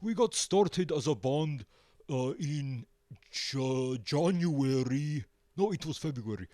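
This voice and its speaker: A man answering casually as a musician being interviewed, hesitant and pausing often. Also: on the high side, lively intonation, mellow, dry recording, clean studio sound. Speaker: band member, interview guest.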